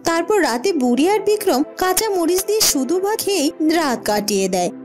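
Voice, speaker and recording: A woman's voice: very high-pitched, big pitch swings, brisk, a little mellow, soft background music. A woman reading scripted narration for a children's fairy-tale cartoon.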